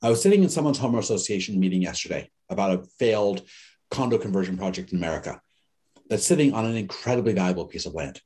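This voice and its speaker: A man teaching an online class in a lively, conversational way, telling stories and acting out the people he quotes. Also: fairly low pitch, fast talker. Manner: animated storyteller, acts out quoted people. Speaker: course instructor.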